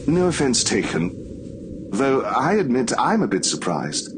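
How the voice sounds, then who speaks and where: An actor playing a calm, pious priest who speaks formally and gently preaches in a horror visual novel. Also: male voice, mid-range voice, unhurried, noisy background. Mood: calm, gently earnest. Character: calm, kindly, pious young-looking priest in a horror game. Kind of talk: scripted visual novel dialogue.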